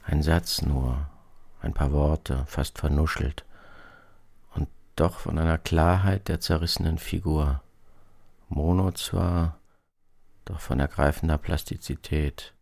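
A man narrates reflectively from a script. His voice is deep and gravelly, taken slowly, in a measured commentary style. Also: fairly even intonation, a little mellow, no room sound, studio quality.

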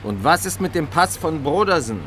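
An actor playing a sceptical police detective in a radio drama, with a dry, clipped, sardonic manner. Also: male voice, on the high side, lively intonation, moderate pace. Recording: loud background noise and ambience.

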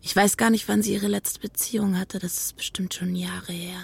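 Searching wistful lover, husky half-whisper, creaky edges, hushed, naturalistic radio play. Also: female voice, mid-range voice, little pitch movement, normal speed, exaggerated voice. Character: wistful, searching woman in a secret affair. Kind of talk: intimate naturalistic radio drama.

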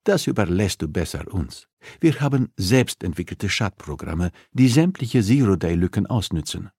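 A man's voice: low voice, big pitch swings, moderate pace, a little mellow, husky, no echo, clean studio sound, heavily acted. A man narrating an audiobook, voicing a coolly persuasive, threatening female criminal who interrogates with insistence and condescension. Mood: probing, smug.

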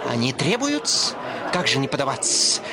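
An actor playing a puzzled, deferential restaurant waiter in a radio story, hesitant and servile. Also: male voice, mid-range voice, wildly swooping pitch, moderate pace, crowd noise. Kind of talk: acted radio short story.

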